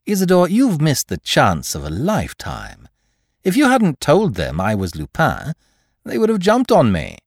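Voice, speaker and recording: A man voicing a suave gentleman thief in an audiobook, jaunty, mocking and grandly courteous. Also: a little high, huge pitch swings, a little slow, dry recording, clean studio sound, pitched above the performer's own voice, exaggerated voice.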